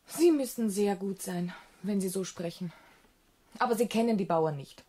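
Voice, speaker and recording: A woman playing a naive, frank young country gentlewoman in a German radio drama, artless and sure of her own opinions. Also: mid-range voice, moderate pace, slightly rough, natural voice. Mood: dismissive, matter-of-fact. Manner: artless, self-assured, contrary.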